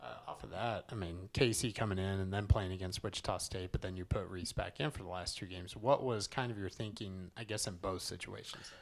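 A man's voice: deep voice, brisk, gravelly, hardly any pauses. A man, a reporter, asking long winding questions full of fillers at a press conference.

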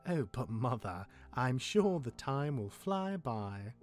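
A man playing a sardonic, awkward immortal demigod older brother, sarcastic and halting, in a fantasy game roleplay. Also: mid-range voice, big pitch swings, soft background music. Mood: defiant, sardonic. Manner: sarcastic, halting when flustered.

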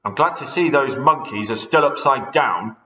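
A nasty, grumbling old man from a storybook, full of spite, as a man puts him on. The voice is raised, bright and loud, and an echoey room rings behind it. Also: mid-range voice, expressive, moderate pace, voice pushed up. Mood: indignant.

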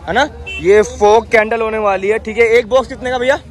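A man's voice: sits high, steady tone, fairly quick, some chatter behind. Young man vlogging through a market. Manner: chatty, repeats prices to camera. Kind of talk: handheld market walkthrough vlog. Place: busy outdoor street market. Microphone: phone held at arm's length.

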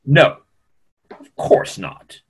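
A middle-aged man playing a grave, courteous doctor in a play reading, formal and emphatic. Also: very slow. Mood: firm, emphatic. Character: grave, courteous male doctor, firm in his opinions. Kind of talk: remote script reading of a stage play. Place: small room at home, video call.

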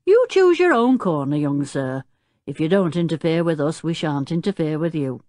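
A woman's voice: deep voice, very animated, moderate pace, dark tone, dry recording, studio quality. A woman voicing a confident, folksy old male circus owner in a children's storybook. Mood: good-tempered. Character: fierce-looking but good-natured old circus-owner grandfather.

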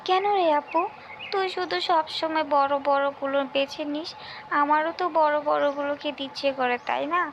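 A quarrelsome little girl from a cartoon village tale, acted by a woman. The voice is extremely high with a creaky edge, whiny and insistent. Clear noise sits behind it. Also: fairly bright tone, lo-fi sound. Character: bossy, squabbling little village girl sisters. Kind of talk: cartoon folk-tale voice acting.

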